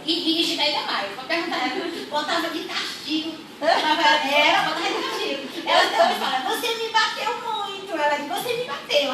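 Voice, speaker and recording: A young woman from rural Brazil telling her life story as a church testimony, unhurried and repeating her phrases. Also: high voice. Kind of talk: personal testimony. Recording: echoey room, far from mic, audible noise.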